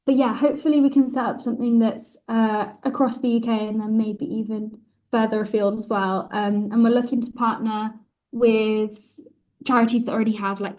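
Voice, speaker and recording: A woman's voice: fairly high pitch, a little mellow. A woman in her twenties with a northern English accent, chatting casually and informally as a podcast guest. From Warrington, northwest England. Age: 20s. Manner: informal, peppered with "like". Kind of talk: casual chat. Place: home room, indoors. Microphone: device mic on a video call.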